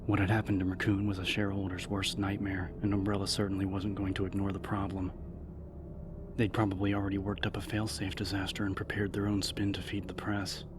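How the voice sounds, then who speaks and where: A man reading a novel as an audiobook narrator, in a measured, serious, dramatic style. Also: deep voice, completely flat delivery, noisy background. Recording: narration over background music.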